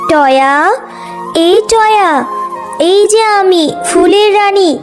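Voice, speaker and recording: A woman voicing a kindly, magical flower queen with a gentle, reassuring manner in a fairy-tale cartoon. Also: extremely high pitch, animated, takes it slowly, full character voice. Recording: music under the voice.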